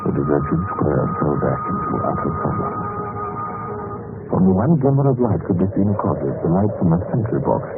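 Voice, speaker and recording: An actor playing a meek, sorrowful clerk who tells his own tale in measured radio drama narration. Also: sits low, huge pitch swings, heavily acted. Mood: anguished. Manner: measured, atmospheric, literary storytelling. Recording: tinny vintage radio recording under music.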